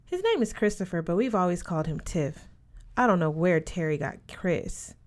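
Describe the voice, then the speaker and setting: A woman's voice: lowish voice, lively intonation, normal speed, a little mellow, close to an everyday voice. A woman narrator plays a confident teenage boarding-school girl from a storybook. She talks frankly and knowingly, with breezy emphasis. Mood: knowing, gossipy.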